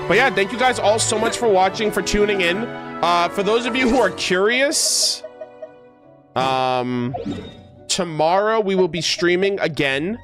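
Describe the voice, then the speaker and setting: A man with a fairly high-pitched voice talks casually to his livestream viewers. He is informal and playfully teasing, with plenty of gamer slang. Music plays behind him. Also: animated, a little slow, fairly bright tone. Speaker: video game streamer.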